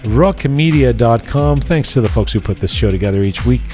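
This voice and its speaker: A man hosting a podcast, joking and animated in casual chat and reading sponsor spots. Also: medium pitch, moderate pace, soft background music. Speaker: podcast host.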